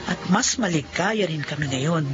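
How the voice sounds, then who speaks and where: A woman's voice: deep voice, expressive, normal speed, muffled recording, music in the background. An actress playing a young wife in a radio drama who tells her own story, with tearful, emotional outbursts. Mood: reflective narration. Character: young wife overjoyed her husband is home. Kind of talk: Filipino radio drama acting.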